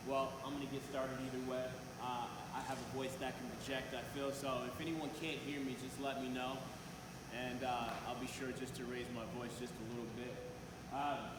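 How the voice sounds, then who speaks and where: A man's voice: medium pitch, level tone, normal speed. Man presenting in a very echoey, noisy hall. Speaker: coach and company founder giving a conference talk. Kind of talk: motivational public presentation. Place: large echoing indoor conference room.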